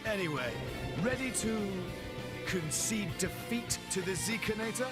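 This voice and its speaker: A man's voice: sits high, expressive, measured pace, over loud music. An actor playing a cocky, theatrical rival swordsman prince in a video game, pompous and showy as he taunts. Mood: exhilarated boasting. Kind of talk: video game cutscene acting.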